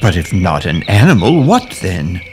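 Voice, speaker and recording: A man playing a genial, reassuring Victorian gentleman in a period audio drama, with polite old-fashioned phrasing. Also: low voice, sing-song, normal speed, noisy background. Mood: grave, puzzled.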